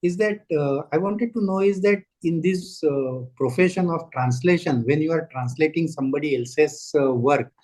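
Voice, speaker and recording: A man with an Indian English accent hosting an interview, polite and hesitant, often pausing on fillers. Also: on the high side, a little mellow, low-quality recording. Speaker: interviewer host. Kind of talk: interview conversation.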